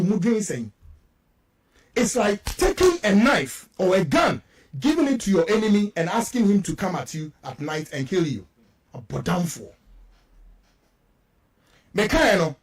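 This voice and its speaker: Male Ghanaian radio commentator, very high-pitched and widely swinging delivery, combative and indignant, hammering points through repetition. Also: breathy edge. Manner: combative, emphatic, indignant, repeats words for emphasis. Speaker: radio show guest commentator. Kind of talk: heated political commentary mixing English and Twi.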